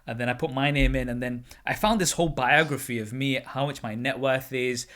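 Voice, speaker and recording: A man in his twenties hosting a podcast interview, relaxed, often trailing off quietly and chuckling. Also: mid-range voice, brisk. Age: 20s. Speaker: podcast host. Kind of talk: casual interview.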